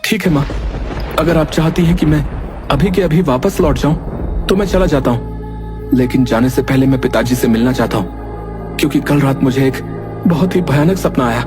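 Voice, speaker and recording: Man playing an anxious, high-pitched young son. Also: lightly acted. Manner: earnest, dramatic, halting. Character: young married son, shocked, anxious and hurt. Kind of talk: Hindi horror cartoon acting. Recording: loud music behind the voice.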